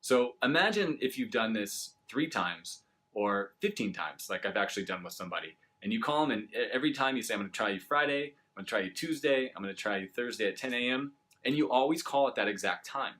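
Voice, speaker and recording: A man's voice: medium pitch, lively intonation, fairly quick, a bit bright. A man coaching viewers in a conversational, explanatory way, checking in with short rhetorical questions as he gives an instructional business tip. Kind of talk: instructional sales tip to camera.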